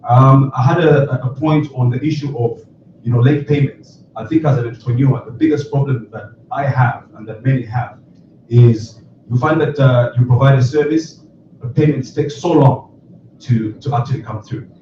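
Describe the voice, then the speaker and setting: A man's voice: mid-range voice, steady tone, moderate pace, lo-fi sound, slight background noise. A man, an entrepreneur, earnestly making a comment from the floor of a panel discussion. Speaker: entrepreneur, radio station founder. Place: conference hall. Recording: very echoey hall, distant mic.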